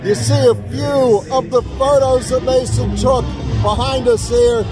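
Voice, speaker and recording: A man's voice: very high-pitched, steady tone, a little slow. A middle-aged man hosting a fan show's postgame chat from the field, upbeat and rattling off player stats. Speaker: fan show host. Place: outdoor stadium field after a game. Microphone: phone selfie at arm's length. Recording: stadium crowd and music behind.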